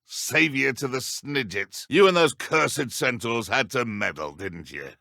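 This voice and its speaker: A man playing a cruel, sneering British dark wizard poacher in a video game, taunting with mock-polite threats. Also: mid-range voice, lively intonation, measured pace, creaky, dry recording, studio quality, exaggerated voice. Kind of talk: video game villain combat barks and idle lines.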